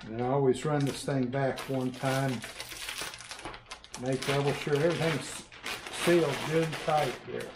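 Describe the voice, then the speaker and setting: An older man in a workshop, calmly demonstrating a task in casual how-to style, pausing with fillers as he works. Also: medium pitch, noisy background. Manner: unhurried, plainspoken, pauses with fillers while working. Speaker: workshop host. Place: large workshop with shelves of printers, indoors.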